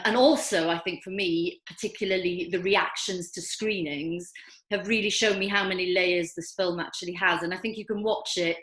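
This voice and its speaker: A woman's voice: on the low side, fairly bright tone. An older Welsh woman whose first language is Welsh, a filmmaker answering questions in an online festival Q&A, hesitant with many ums but enthusiastic. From Wales. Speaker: filmmaker guest. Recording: echoey room.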